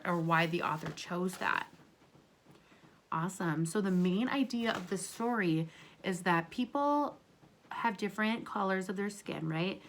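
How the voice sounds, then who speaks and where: A woman reading a picture book aloud to children as a teacher, pausing to put questions to them in an encouraging way. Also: on the high side, a bit bright.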